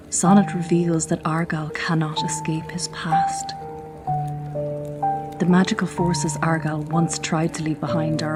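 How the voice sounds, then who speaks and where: A low female voice narrates a fantasy legend in a solemn, measured way. Her intonation stays flat and controlled, like a chronicler reading from a script, with loud music running underneath.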